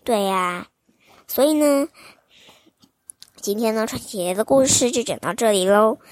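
A young woman reading a fairy tale aloud to children in a gentle, sing-song, child-directed manner. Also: fairly high pitch, animated, normal speed, no room sound. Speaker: children's storyteller host.

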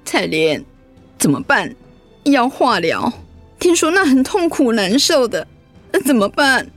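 A woman's voice: fairly high pitch, sing-song, measured pace, fairly bright tone, soft background music. An actress playing a frightened, anxious middle-aged mother who is ill, in a radio drama. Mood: distressed, pleading. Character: frightened, anxious mother facing cancer treatment.

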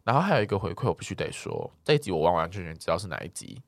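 A talkative, expressive man co-hosting a podcast, chatting casually as he replies to listener comments. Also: low voice, very fast, dry recording. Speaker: podcast co-host.

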